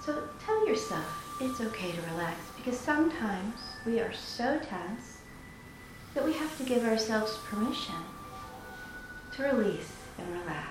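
A woman leading a guided meditation in a soothing, unhurried manner, pausing between phrases. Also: a little high, sing-song. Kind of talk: guided meditation, slow instruction. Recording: very echoey room with music. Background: meditation music.

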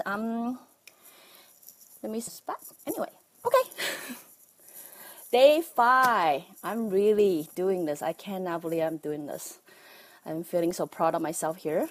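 A woman from Taiwan with a Taiwanese accent, talking casually on a livestream, halting with many ums and nervous laughs. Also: lowish voice. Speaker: livestream host, life coach.

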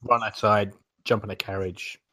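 A man's voice: on the low side, steady tone, moderate pace, pushed and bright, no room sound. Man chatting casually, close dry sound. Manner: rambling, searches for names with filler words. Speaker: podcast roundtable panelist. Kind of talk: casual chat, retelling gameplay anecdotes.

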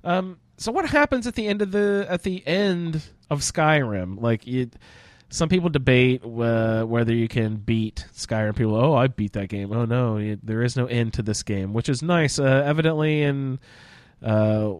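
A man's voice: medium pitch, moderate pace, no room sound. Man hosting a casual roundtable, dry sound. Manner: inquisitive, inviting others to weigh in. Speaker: podcast roundtable host. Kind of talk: casual roundtable discussion of a video game.

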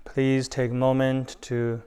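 A man giving unhurried guided meditation instructions with long pauses. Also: mid-range voice, completely flat delivery, mellow, clean tone.

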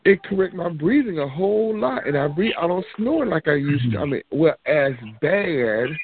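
A man's voice: fairly high pitch, very animated, normal speed, husky, no room sound. A man, calling in and telling a long rambling story. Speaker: caller. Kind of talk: casual phone chat. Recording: tinny phone line, faint hiss.

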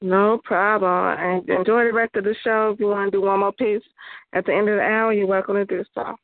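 A woman's voice: mid-range voice, fairly even intonation, fairly quick, dry recording. Lady radio host cheering on callers, sounds tinny and dry. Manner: upbeat, hypes callers. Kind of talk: hosting a call-in poetry show.

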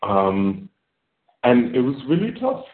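A man from Germany, a filmmaker guest, talking reflectively in a casual interview with many pauses and fillers. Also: medium pitch, huge pitch swings. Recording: phone-like echoey remote call.

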